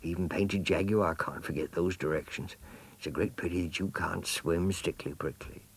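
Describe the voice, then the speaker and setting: A man voicing a slow, solid tortoise in a storybook, measured and mild-mannered. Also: low-pitched, slightly rough. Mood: quietly disapproving. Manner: measured, courteous.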